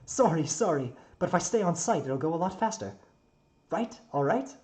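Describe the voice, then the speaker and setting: A man's voice: on the high side, lively intonation, normal speed, a little mellow, pitched above the performer's own voice, close to an everyday voice. A man voicing a cheerful, eager, klutzy human man in a story reading, with an apologetic manner. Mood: apologetic, pleading.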